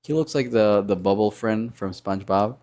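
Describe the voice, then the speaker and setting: Gravelly low-voiced man rambling while animating. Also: normal speed, darkish tone. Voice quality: low baritone voice. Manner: rambling, thinks aloud, hums and mutters sound effects. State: sore throat. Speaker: animator streaming while drawing. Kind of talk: casual live-stream chat while working.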